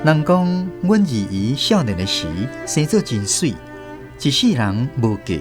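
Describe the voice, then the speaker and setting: Taiwanese man narrating with lively, swooping pitch. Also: measured pace, over music. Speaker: host and narrator. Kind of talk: expressive scripted storytelling narration.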